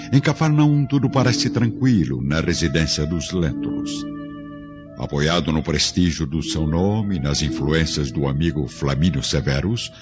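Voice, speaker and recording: A man narrating a radio drama from a script in a grave, formal, theatrical storytelling manner. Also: a bass voice. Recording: dull band-limited audio with music behind.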